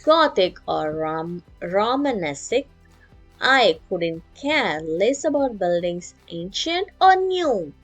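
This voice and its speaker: A woman's voice: medium pitch, big pitch swings, slow. Lady doing a strict fed-up teacher from a kids book, scolding, voice goes up and down, music behind. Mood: dismissive. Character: stern, exasperated woman teacher who hates buildings.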